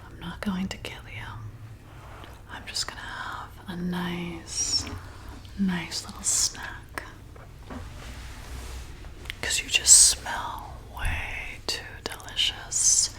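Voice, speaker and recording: A woman playing a flirty, commanding vampire bartender in an ASMR roleplay, softly coaxing and hypnotizing in a breathy whisper. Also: fairly low pitch, flat delivery. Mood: seductive, predatory. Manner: slow, soothing, coaxing, deliberate.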